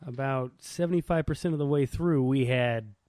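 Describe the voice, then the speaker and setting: A man's voice: medium pitch, normal speed, darkish tone, dry recording, clean studio sound. A man chatting casually as a podcast co-host, telling stories in an informal, slangy way. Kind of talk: casual chat.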